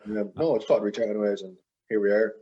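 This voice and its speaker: A man's voice: lowish voice, fast, warm tone, muffled recording. A man, a podcast guest talking casually in a rambling way full of fillers. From Ireland. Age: 20s. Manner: rambling, heavy with fillers and hedges. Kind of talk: casual interview chat.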